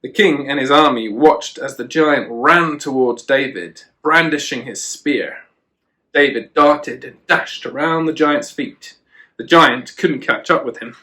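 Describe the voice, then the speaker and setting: A man's voice: on the high side, pushed and bright. A man reading a children's storybook aloud, with chatty asides to a child. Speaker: children and youth worker, storyteller.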